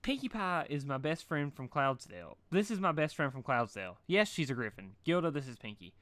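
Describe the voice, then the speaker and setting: A man's voice: a little high, fairly quick, no echo, voice pushed up. Man doing a cocky, boastful pony girl. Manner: cocky, excited. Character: brash, boastful flying pony girl, sporty.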